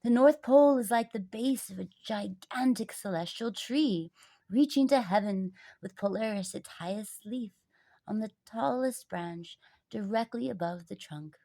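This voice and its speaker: A wise old storybook grandfather, read by a woman, teaches his grandson. He explains gently and with reverence. The recording is dry and close. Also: medium pitch, a little slow, creaky, dry recording. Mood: reverent teaching.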